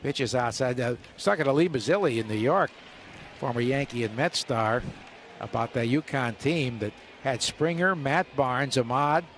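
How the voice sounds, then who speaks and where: A man's voice: medium pitch, normal speed. Male baseball play-by-play announcer over ballpark noise. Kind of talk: live radio sports commentary. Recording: steady stadium ambience behind live broadcast. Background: ballpark ambience.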